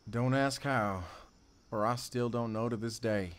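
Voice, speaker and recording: An actor playing a remorseful drifter confessing a violent past in audio theater, speaking haltingly and broodingly. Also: male voice, mid-range voice, steady tone, clean tone, lightly acted. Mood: grave, hushed.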